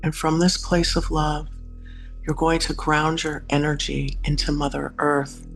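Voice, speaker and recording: A man leading a guided meditation in a soothing, instructive manner with long pauses. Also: fairly high pitch, vocal fry. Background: music.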